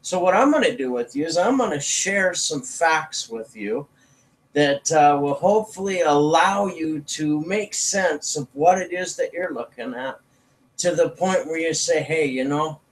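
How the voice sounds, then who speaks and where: A man's voice: a little high, lively intonation, a little slow, poor audio. A man giving an informal sales presentation as a network marketing promoter, talking in a folksy, persuasive way.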